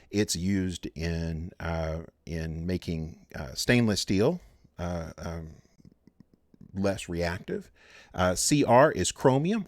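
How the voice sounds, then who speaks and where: A low-voiced man teaches chemistry in a relaxed, unscripted way, full of fillers and restarts. His pitch rises and falls a lot as he goes. The sound is close and dry, with no room echo. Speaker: chemistry instructor. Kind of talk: recorded lecture.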